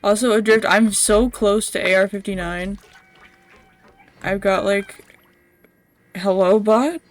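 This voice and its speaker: A woman's voice: mid-range voice, steady tone, slow pace. A young woman livestreaming a video game with casual, rambling commentary, blunt and slangy with some swearing. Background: game music.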